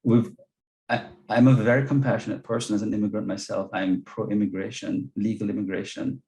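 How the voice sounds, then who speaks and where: A man's voice: lowish voice, level tone, fairly quick, gravelly, mellow. A man from Jamaica with a Jamaican accent, an earnest guest speaker on a video call who repeats words as he searches for them. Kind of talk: webinar talk and Q&A answers.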